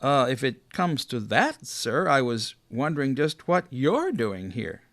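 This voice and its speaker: A man's voice: medium pitch, big pitch swings, a little slow, fairly bright tone, no room sound. A man voicing a nervous, hesitant bearded gnome.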